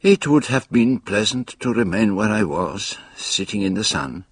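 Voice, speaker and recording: An actor playing a genteel, observant Belgian detective, narrating a radio drama in a measured, formal way. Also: male voice, fairly low pitch, expressive, slightly rough. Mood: musing.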